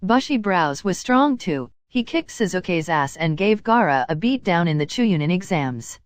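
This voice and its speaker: A woman's voice: lowish voice, very animated, measured pace, no room sound, studio quality. A woman narrating a written story aloud, reading both description and dialogue.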